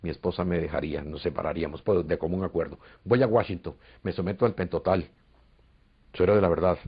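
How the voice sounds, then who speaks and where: A man's voice: deep voice, steady tone, fast talker, husky. A man from Costa Rica with a Costa Rican Spanish accent, earnestly telling a long story in a recorded interview, with hesitations. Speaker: interviewee, UFO contactee. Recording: dull lo-fi recording.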